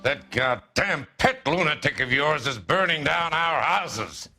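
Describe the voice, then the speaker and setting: An actor playing a crude, irritable crooked businessman with a gruff, blunt manner in a video game cutscene. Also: on the high side, animated, measured pace, sharp tone, soft background music, heavily acted. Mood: furious, blustering.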